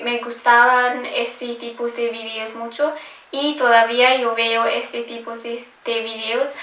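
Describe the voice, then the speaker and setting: A woman's voice: a little high, a bit bright. Hesitant young woman, roomy distant sound. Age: young adult. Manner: hesitant, many fillers and self-corrections. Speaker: language-learning vlogger. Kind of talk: casual personal vlog talk. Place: home room in Scotland.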